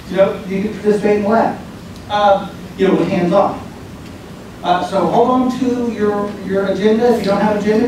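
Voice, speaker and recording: A man making casual announcements to a conference crowd, with many fillers and restarts, recorded from the back of a large room. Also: sits high. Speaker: event organizer. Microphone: far camera at back of room. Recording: echoey room from far off, noisy.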